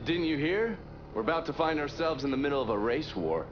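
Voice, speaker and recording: A man's voice: a little high, big pitch swings, a little fast. A man playing a cynical war-veteran intelligence agent with an American accent, talking in a wry, needling way. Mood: wry, teasing. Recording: muffled, with loud vehicle noise.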